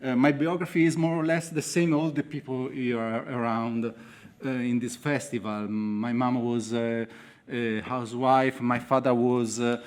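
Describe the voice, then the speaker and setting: Italian guy, writer on a panel, mid pitched voice, broken english, searching for words. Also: fairly even intonation, unhurried. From Italy. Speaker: author on a panel. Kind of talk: personal account to a festival audience.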